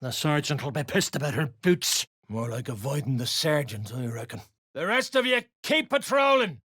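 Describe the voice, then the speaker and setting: A surly underground dwarf raider from a video game, coarse and slangy. The pitch leaps from low grumbles to sharp barks. The recording is dry and close, as a hostile underling snaps out orders and complaints. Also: big pitch swings, normal speed, husky, dry recording. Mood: barking, hostile. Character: gruff, surly duergar raider guard, rough-tongued underling. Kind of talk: video game character voice lines.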